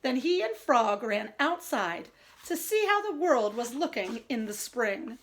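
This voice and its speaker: Female early-years teacher, high-pitched and very animated, reading aloud and explaining simply. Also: measured pace. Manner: expressive, clearly explaining. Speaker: teacher of young children. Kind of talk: read-aloud storytelling and simple instructions for children.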